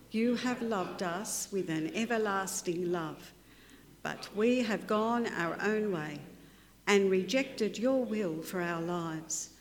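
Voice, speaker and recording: A woman leading a church service, reciting confession and prayers in a slow, solemn, prayerful way. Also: medium pitch. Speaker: service leader.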